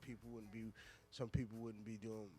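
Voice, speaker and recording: A man's voice: lowish voice, little pitch movement, over loud music. A man co-hosting a radio show with casual, slangy banter, agreeing often and repeating his words. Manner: agreeable, echoes and repeats words in rapid affirmations.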